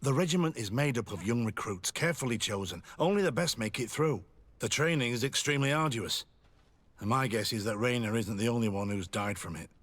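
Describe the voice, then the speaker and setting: An actor playing a wary, dutiful military lieutenant in a video game, husky, grave and formal, speaking guardedly. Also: male voice, medium pitch, fairly bright tone. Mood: grave, regretful. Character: wary military lieutenant, dutiful male informant confiding secrets. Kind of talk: video game dialogue acting.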